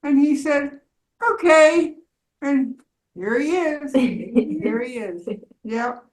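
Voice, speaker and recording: An older woman with a fairly high voice chats slowly and casually. She has a wry, good-humoured way of telling anecdotes in a group. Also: expressive, darkish tone, reverberant, poor audio. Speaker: participant in a community storytelling theatre group.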